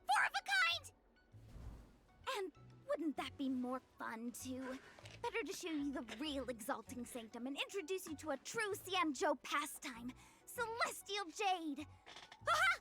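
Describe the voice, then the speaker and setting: A woman voicing a playful young woman diviner in a video game, chirpy, breezy and excitable. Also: high voice, unhurried, over music, heavily acted. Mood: playful, excited. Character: playful, slacking young woman diviner obsessed with tile games.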